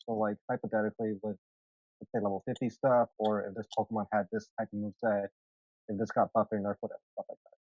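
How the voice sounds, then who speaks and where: A man's voice: on the low side, level tone, fast talker, darkish tone, dry recording. A man from Canada chatting casually as a panel guest, laid-back and noncommittal, over a dull voice call. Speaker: game writer, panel guest. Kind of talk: casual chat.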